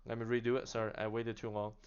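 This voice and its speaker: A man casually demonstrating radio gear, chatty and animated, laughing now and then as he explains. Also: on the low side, fast, clean tone, muffled recording. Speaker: product demonstrator. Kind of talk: casual product demo.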